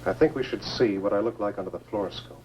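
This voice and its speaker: An actor playing a dazed astronaut shaken by a crash landing, in 1950s science fiction film style. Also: male voice, lowish voice, quick pace, a little mellow, lightly acted. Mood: uneasy. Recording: noisy old film soundtrack with hum.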